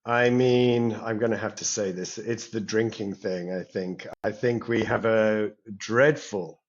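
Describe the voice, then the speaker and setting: English man, lowish voice, hesitant and warm in manner, many restarts, dull lo-fi call audio. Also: steady tone, measured pace. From England. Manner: thoughtful, many fillers and restarts. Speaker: podcast guest, career coach. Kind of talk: conversational interview.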